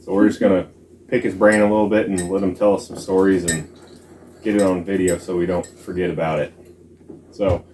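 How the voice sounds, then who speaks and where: Low-voiced man hosting a family vlog. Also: little pitch movement, brisk, a little mellow, slight background noise. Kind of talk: casual chat and personal storytelling.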